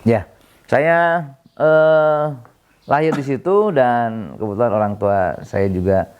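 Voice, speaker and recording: A middle-aged man from Bandung, explaining council work in an interview, pausing often with fillers and self-corrections. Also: mid-range voice, a little mellow, clear, resonant tone, muffled recording. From Bandung, Indonesia. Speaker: city council member, interview guest. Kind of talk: relaxed explanatory interview talk.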